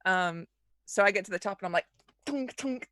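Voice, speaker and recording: A woman's voice: on the low side, little pitch movement, fast, sharp tone. A woman playing a tabletop roleplaying game, casually describing what her character does.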